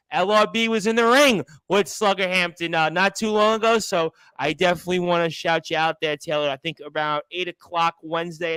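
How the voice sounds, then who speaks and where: A man's voice: a little high, a bit bright, smooth, no echo, poor audio. A man from Brooklyn, hosting a wrestling talk show in a chatty, rambling way over a video call. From Brooklyn, New York. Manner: chatty, rambling, repeats himself. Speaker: radio show host. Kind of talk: casual interview chat.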